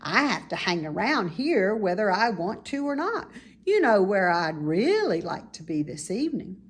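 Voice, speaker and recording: A woman's voice: medium pitch, sing-song, moderate pace, breathy edge. A woman voicing a naive, sensitive young male pig in a children's storybook. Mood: wistful. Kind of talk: children's storybook read-aloud.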